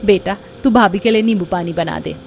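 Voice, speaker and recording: A woman voicing a warm, affectionate older mother-in-law of a humble household in a cartoon. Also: medium pitch, a little fast, vocal fry, soft background music, natural voice. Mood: caring. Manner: affectionate, welcoming.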